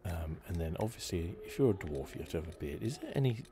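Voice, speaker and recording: A man giving casual ASMR commentary on a game playthrough in a hushed, rambling, musing manner. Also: deep voice, expressive, quick pace, background noise. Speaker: gaming video host.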